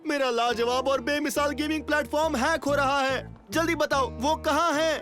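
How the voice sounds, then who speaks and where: A man voicing a vain, anxious, easily exasperated game inventor in an animated comedy dub. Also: very high-pitched, moderate pace, over music. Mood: indignant, pleading.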